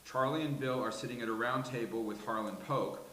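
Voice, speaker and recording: A man's voice: mid-range voice, brisk, fairly bright tone. A man reading stage directions as scripted narration at a live table read, in a roomy space with hiss.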